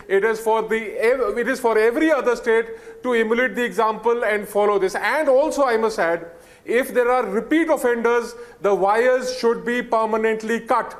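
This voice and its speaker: A man with an Indian accent giving emphatic, deliberate political commentary as a panelist on a news debate. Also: very high-pitched. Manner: emphatic, deliberate, repeating key points.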